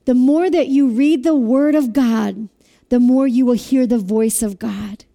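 A woman preaching a sermon with a loud voice, asking listeners to repeat phrases after her. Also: on the high side, unhurried, creaky. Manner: asks the audience to repeat phrases.

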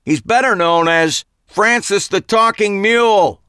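A man voicing an excitable veteran film director with an enthusiastic, emphatic manner, in an audiobook. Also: fairly low pitch, a little slow, no echo, clean studio sound.

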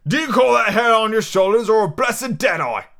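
A man's voice: fairly high pitch, lively intonation, moderate pace, fairly bright tone, voice pushed up. A man voicing a kindly but commanding one-legged pirate landlord with an Australian-style accent, from a storybook. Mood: gruff, scolding. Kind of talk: storybook character voice.

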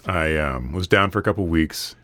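A man hosting a solo podcast, his voice a bit raspy from a recent illness, talking in an earnest, steady, advice-giving way. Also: sits low, normal speed, dry recording. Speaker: podcast host. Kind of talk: casual solo podcast monologue.

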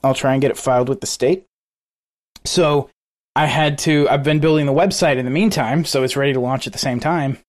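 A man's voice: medium pitch, brisk. A man co-hosting a podcast in casual chat, rambling and laughing often between thoughts. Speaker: podcast co-host.

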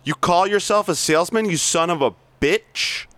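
Man playing a cocky swearing sales boss, higher voice, dry close sound, aggressive amateur reading. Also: moderate pace, a bit bright, dry recording. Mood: berating. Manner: read aloud from a script, stumbling at times. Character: brash abusive male big-shot sales boss.

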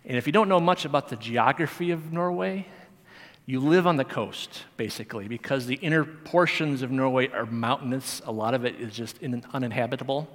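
Male lay teacher, mid-pitched, casual sermon delivery, long church reverb. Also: a little fast, a bit bright. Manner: conversational, chatty, with frequent fillers and restarts. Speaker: Sunday school teacher. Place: church sanctuary.

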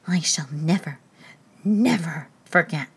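A woman voicing a fussy, grumpy little male chess king who speaks slowly. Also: mid-range voice, sing-song, a bit bright, pitched above the performer's own voice.

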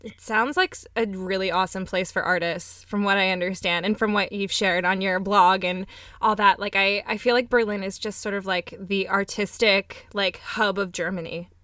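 Bright woman hosting, dry close sound. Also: medium pitch, fairly even intonation, moderate pace, dry recording. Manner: enthusiastic, encouraging. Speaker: interview host, music teacher. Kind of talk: casual interview chat.

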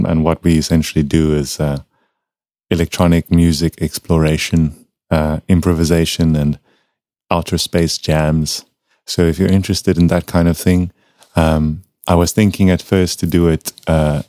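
A young man from South Africa hosting a casual podcast from his home studio, talking in a relaxed, rambling way with many fillers. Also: low-pitched, no echo. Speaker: podcast host.

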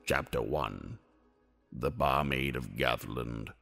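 A man's voice: rumbling low, slow, gravelly. A man giving grand, dramatic scripted narration for a fantasy audio play. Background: loud music.

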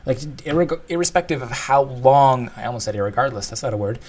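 A man's voice: mid-range voice, lively intonation, quick pace, darkish tone. A man co-hosting a casual discussion, explaining his thoughts in a thoughtful, conversational way. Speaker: podcast co-host discussing game design.

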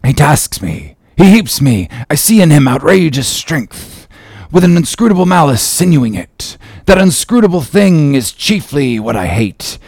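Here is a man performing a fiery, grandiose old whaling captain from a classic storybook, bent on revenge. He declaims in archaic, impassioned phrases, raised in pitch and swinging widely. The recording is close and dry. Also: vocal fry, breathy edge, no echo, full character voice. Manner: declamatory, archaic thee-and-thou speech, impassioned. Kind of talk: dramatic classic novel reading.

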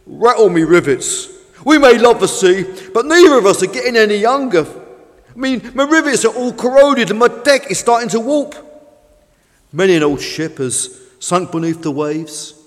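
A man's voice: extremely high pitch, pitched above the performer's own voice. Man putting on a grumbling old fishing boat, echoing hall. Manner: complaining, snappish, fatalistic. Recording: long reverberant hall.

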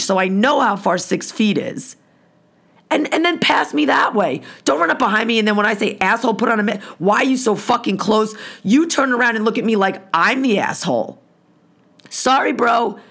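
A hoarse woman hosting a podcast, delivering a blunt, profane, heated rant. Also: a little high. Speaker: podcast host.

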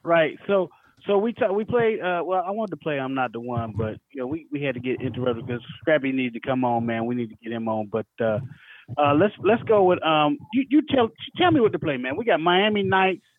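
A man's voice: a little high, animated, a little fast, dry recording. A man hosting a casual radio chat, informal and often repeating his phrases. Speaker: radio host. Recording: thin phone-like radio sound.

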